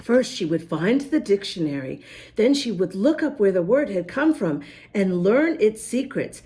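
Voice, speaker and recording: A woman's voice: medium pitch, unhurried. A woman reading a picture book aloud to young children, talking directly to them and carefully sounding out words. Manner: animated, addresses young listeners, spells words out letter by letter. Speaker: children's story reader, host.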